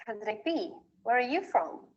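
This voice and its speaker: Woman examiner with a lively, lifting voice. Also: fairly high pitch, highs cut off. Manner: formal, measured, rising on each question. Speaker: mock exam examiner. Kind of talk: reading scripted exam prompts.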